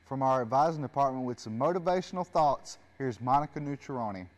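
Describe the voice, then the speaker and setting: A man's voice: mid-range voice, lively intonation, quick pace. Male host reading scripted show links.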